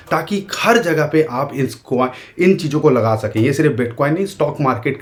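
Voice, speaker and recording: A man with an Indian accent explaining market charts to his viewers in casual, earnest talk, with a sore throat. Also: mid-range voice. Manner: earnest, emphatic, addresses viewers directly. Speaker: crypto market commentator.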